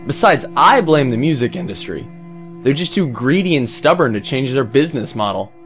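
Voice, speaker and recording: A man's voice: mid-range voice, big pitch swings, normal speed, a bit bright. A man playing a smug, callous music pirate in a scripted comic monologue, flippant and self-justifying. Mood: defensive, dismissive.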